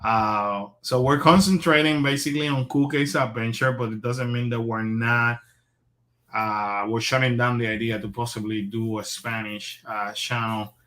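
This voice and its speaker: A man from Puerto Rico hosting a casual livestream, chatty and relaxed, with a lot of filler words. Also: mid-range voice, normal speed. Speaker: host.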